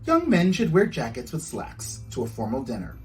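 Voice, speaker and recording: A man's voice: medium pitch, wildly swooping pitch, moderate pace, darkish tone, soft background music. A man voicing a patient, proper mother who gives polite instruction in a storybook. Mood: instructing.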